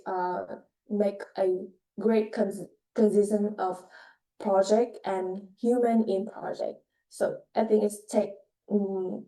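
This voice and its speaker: A young woman giving a project presentation in halting, hesitant English. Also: medium pitch, dark tone. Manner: halting, hesitant phrasing in non-native English. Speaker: student presenter. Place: video call. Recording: roomy, distant mic on online call.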